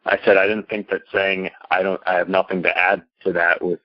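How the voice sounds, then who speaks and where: Very low-voiced man calling in, halting. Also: level tone. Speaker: caller. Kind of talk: live call-in question, hesitant casual talk. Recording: dull, lo-fi call-in audio.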